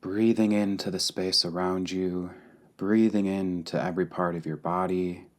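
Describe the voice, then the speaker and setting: A man leading a guided meditation calmly and deliberately, pausing between short phrases. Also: deep voice. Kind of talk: guided meditation, slow and spacious.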